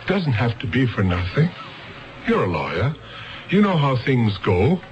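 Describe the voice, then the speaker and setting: Shrewd, mid-pitched American police lieutenant. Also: male voice, sing-song, measured pace, background noise. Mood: coaxing. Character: shrewd, coaxing male police lieutenant interrogator. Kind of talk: 1970s American radio drama acting. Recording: dull radio recording with music.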